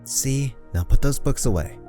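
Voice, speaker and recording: A man acting a protective, suspicious character in an amateur Minecraft roleplay, speaking in a measured, chiding way. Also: mid-range voice, animated, music in the background, close to an everyday voice.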